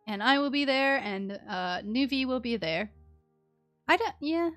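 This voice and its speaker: A woman's voice: high-pitched, expressive, measured pace, a bit bright, soft background music. A woman game streamer giving casual live reactions, with playful and sympathetic asides.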